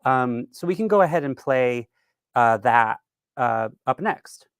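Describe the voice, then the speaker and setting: A man's voice: mid-range voice, animated, measured pace, smooth, no room sound. A man giving an informal instructional keynote talk at a virtual event, explaining in a conversational, somewhat hesitant way. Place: virtual event, speaking from a dry room.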